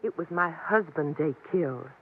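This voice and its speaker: A woman's voice: low-pitched, animated, moderate pace, slightly rough, full character voice. A tinny vintage radio recording of a weary, lonesome American frontier woman. She talks in a plain, folksy way, like someone who has had a hard life in the Old West. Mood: wistful confiding. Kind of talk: 1950s radio western acting.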